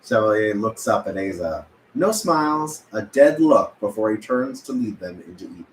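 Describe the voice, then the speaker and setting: A man's voice: on the low side, animated, normal speed. Man narrating a script in echoey room. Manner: stumbles over words and corrects himself. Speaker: stage-direction narrator. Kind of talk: script table-read narration. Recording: echoey room with background noise.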